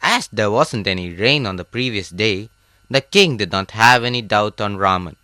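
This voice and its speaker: Bright, lively male storyteller. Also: fairly low pitch, moderate pace. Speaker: narrator. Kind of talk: scripted children's story narration.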